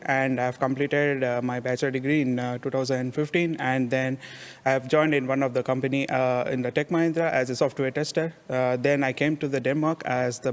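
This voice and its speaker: A man from India, introducing himself haltingly with many fillers. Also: medium pitch. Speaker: panelist, software tester. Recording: long concert hall reverb.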